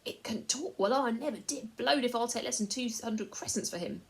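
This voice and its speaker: A woman's voice: a little high, fairly quick, voice pushed up, close to an everyday voice. A woman doing a wheedling, greedy slave trader. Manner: wheedling, coarse and chatty.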